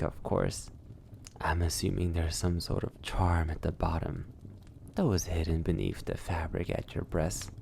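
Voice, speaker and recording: A man playing a suave, sadistic vampire lord in an intimate ASMR roleplay, formally polite, breathy and teasing. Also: low voice, a little mellow, a little hiss or hum.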